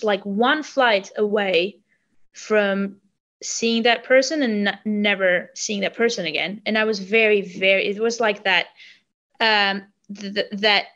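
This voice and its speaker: A woman's voice: medium pitch, a bit bright, dull audio. A young woman from Armenia, a native Armenian speaker, talking about her songwriting in an interview, hesitant and restarting her sentences as she searches for words. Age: young adult. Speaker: singer. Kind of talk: casual interview.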